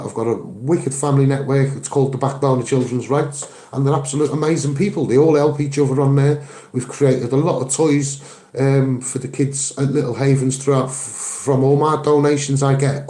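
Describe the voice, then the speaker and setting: Man, Liverpool accent, activist monologue, earnest, emphatic, dark mellow tone, echoey room sound. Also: mid-range voice. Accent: Liverpool (Scouse). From Liverpool, England. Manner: earnest, emphatic, repeating phrases for stress. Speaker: activist and online video maker. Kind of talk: impassioned campaigning monologue to camera.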